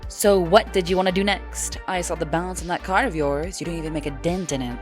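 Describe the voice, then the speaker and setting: Seorang wanita memerankan alien betina berbulu dari klan prajurit yang tangguh, blak-blakan, dan santai dalam buku audio. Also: female voice, fairly low pitch, fairly even intonation, fast talker, soft background music, close to an everyday voice. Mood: encouraging. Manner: brash, casual, blunt. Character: brash, tough warrior-clan furry alien female. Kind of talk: audiobook character acting.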